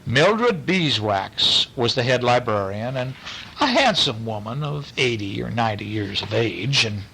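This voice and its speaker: Bright-voiced man reading a bedtime story. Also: mid-range voice, unhurried, gravelly, a little hiss or hum, low-quality recording. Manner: animated questioning, explains words to listener. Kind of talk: expressive read-aloud with chat to a child.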